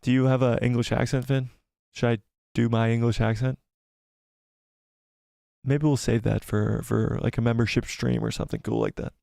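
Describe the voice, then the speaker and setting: A young man hosting a live stream, rambling excitedly, laughing often and reading viewer comments, slightly losing his voice. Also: medium pitch, moderate pace, gravelly, no echo, studio quality. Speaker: YouTube channel host.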